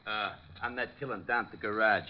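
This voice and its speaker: A man's voice: fairly low pitch, steady tone, a little fast, close to an everyday voice. Guy doing a dim flustered police sergeant, hesitant, old time radio comedy style, muffled old recording. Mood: dutiful, flustered. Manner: hesitant, plodding, easily flustered. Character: bumbling, slow-witted, defensive police sergeant. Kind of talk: 1940s radio comic character acting.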